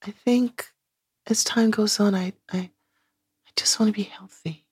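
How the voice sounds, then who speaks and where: A woman's voice: medium pitch, steady tone, measured pace, a little mellow, husky, no room sound, studio quality. A woman in her sixties, a guest in an interview, talking reflectively and searching for words with many "you know"s. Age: 60s. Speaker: guest, singer.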